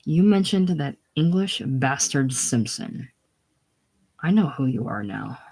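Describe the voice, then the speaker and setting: A reader voicing a gruff old farmer who mocks and jeers loudly. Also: mid-range voice, expressive, takes it slowly, exaggerated voice. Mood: grim. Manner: loud, teasing, contemptuous. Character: gruff old Irish farmer, mocking and jeering.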